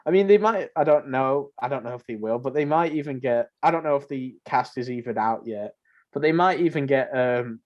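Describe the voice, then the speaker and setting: A man's voice: medium pitch, a little fast, darkish tone, muffled recording. A man co-hosting a podcast, chatting casually and informally, with opinions of his own. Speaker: podcast co-host. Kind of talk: casual podcast chat about films and games.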